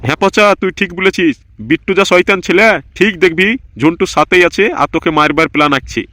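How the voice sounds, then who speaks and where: A man's voice: high-pitched, fast talker, sharp tone. A man voicing an idle, anxious village go-between in a comedy cartoon, gossipy and musing aloud. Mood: wary, agreeing. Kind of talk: Bangla comedy cartoon dubbing.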